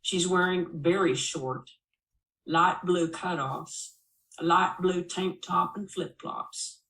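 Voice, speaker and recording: A woman voicing a matter-of-fact police dispatcher in a story, listing details slowly and deliberately. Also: on the low side, steady tone, a bit bright. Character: matter-of-fact dispatcher reading out a description over walkie-talkie. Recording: roomy, echoing voice recording.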